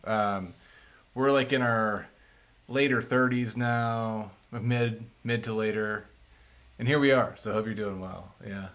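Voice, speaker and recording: A man's voice: lowish voice, steady tone, slow pace, fairly bright tone. A man in his thirties, co-hosting a casual chat and reading viewer comments aloud in a laid-back, chatty way. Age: 30s. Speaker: co-host.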